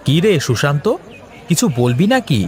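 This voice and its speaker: Man playing lively, high-voiced village husband. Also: normal speed, a faint crowd, slight background noise. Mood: confident, businesslike. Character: married Bengali village trader, placating, practical husband and brother. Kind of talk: cartoon dialogue acting.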